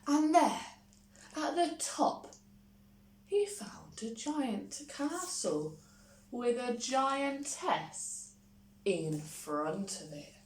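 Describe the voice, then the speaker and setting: A woman reading a children's picture book expressively, with dramatic pauses and little asides. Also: on the high side, sing-song. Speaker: primary school story reader. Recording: roomy echo, faint background noise.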